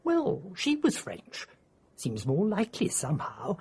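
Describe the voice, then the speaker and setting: An actor playing an eccentric, self-pleased English male mystery writer in a radio drama, effusive and theatrical, with a husky voice. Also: high-pitched, wildly swooping pitch, unhurried, a little mellow, heavily acted. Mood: musing.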